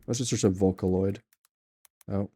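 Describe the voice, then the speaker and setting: A man talking through a software tutorial in an offhand, rambling way, with many ums and self-corrections. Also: deep voice, steady tone, normal speed, slightly rough. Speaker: tutorial maker. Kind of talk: casual screen-recorded software tutorial.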